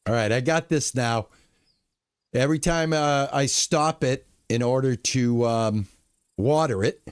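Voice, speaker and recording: A man streaming a farming game live, chatting to his viewers in a relaxed, lulling way. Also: medium pitch, unhurried, smooth, no room sound, clean studio sound. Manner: relaxed, chatty, talks to chat. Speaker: gaming streamer. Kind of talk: live gameplay commentary.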